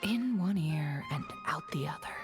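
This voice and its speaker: A woman voicing a stern, exasperated female boss in a video game, speaking in a dry, measured, sardonic way with menacing politeness. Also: sits low, animated, over music. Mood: fed up, dry.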